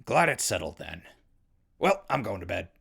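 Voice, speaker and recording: A man playing an easygoing, friendly ghoul radio broadcaster in a post-apocalyptic audio drama, husky and gravelly, talking in a casual way. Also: on the low side, sing-song, normal speed, fairly bright tone, exaggerated voice. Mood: persuading, friendly. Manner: casual, conversational.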